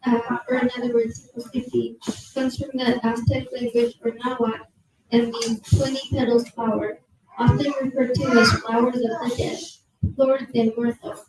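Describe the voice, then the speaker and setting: A young woman giving a nervous museum presentation to an audience, reading from notes with many fillers and apologies, her soft low voice held away from a handheld mic indoors. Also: background noise. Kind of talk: reading prepared talk to a live audience. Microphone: handheld mic held at a distance.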